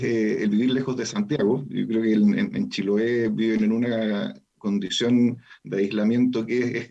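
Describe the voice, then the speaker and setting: Husky, gravelly man on distant line. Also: medium pitch, mellow. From Magallanes, southern Chile. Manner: measured, repeats words when searching. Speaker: guest, construction industry member. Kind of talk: radio interview answers. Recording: distant, dull remote line.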